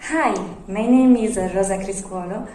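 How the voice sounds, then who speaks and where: A woman's voice: medium pitch, measured pace, warm tone. A woman, an engineer giving a matter-of-fact self-introduction. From Italy. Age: 30s. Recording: echoey room, faint hiss.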